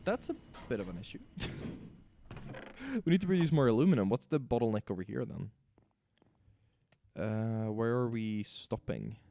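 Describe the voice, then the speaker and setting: Hoarse male streamer, mellow and lively. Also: fairly low pitch, moderate pace, pauses often. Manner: talks to himself while building machines. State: raspy, sore voice. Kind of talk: casual gameplay commentary.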